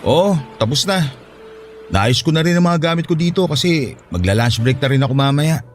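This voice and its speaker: A man's voice: a little high, expressive, moderate pace, a little hiss or hum, lightly acted. A man playing a Filipino ship worker who misses his family, speaking sincerely and emotively in an audio drama. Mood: subdued. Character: downhearted, grateful male Filipino ship worker missing family.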